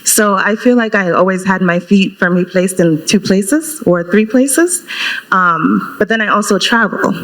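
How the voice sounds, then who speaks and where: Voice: mid-range voice, poor audio. An organization founder raised in Brooklyn, speaking casually as a panel guest on a conference stage. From Brooklyn, United States. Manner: casual, relaxed, uses "like" often. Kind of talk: panel discussion answers.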